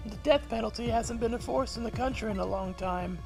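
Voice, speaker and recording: A woman voicing a brash, excitable, defensive female detective in a mystery game. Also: fairly high pitch, a little fast, vocal fry, over music. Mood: urgent. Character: brash, headstrong young female detective, highly strung.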